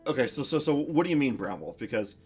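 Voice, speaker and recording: A young man talks casually on a stream in a masculine voice that sits at an ordinary middle pitch. He muses aloud, wandering through thoughts with restarts and fillers. Faint music plays behind him. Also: big pitch swings, fast talker, husky. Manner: rambling, reflective, many restarts and fillers.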